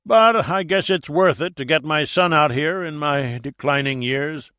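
A man voicing a gruff, wily older father, a wealthy shipowner, in an audiobook. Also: fairly high pitch, a little slow, fairly bright tone, no room sound, studio quality, pitched above the performer's own voice. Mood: softening. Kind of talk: audiobook character dialogue.

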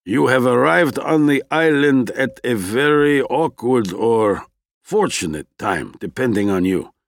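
A man voicing a stern, proud male expedition leader in an audiobook, speaking formally and gravely. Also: mid-range voice, lively intonation, measured pace, dry recording, pitched above the performer's own voice.

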